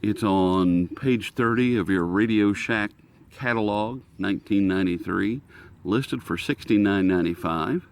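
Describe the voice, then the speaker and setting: An American man with a low-pitched voice talks through a product review in a casual, unscripted way. He is halting, often thinking aloud as he works through the details. He is speaking outdoors. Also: a little hiss or hum. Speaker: host of a radio review video.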